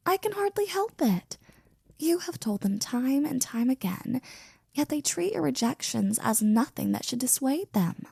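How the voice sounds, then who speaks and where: A woman playing a devoted, flirty and jealous maid in an intimate ASMR roleplay, speaking softly and close to a whisper with teasing, courtly wording. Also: high voice, sing-song, measured pace, no echo, full character voice. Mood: indignant, protective. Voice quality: soft, breathy, often near whispering. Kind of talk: ASMR roleplay, intimate one-sided scene.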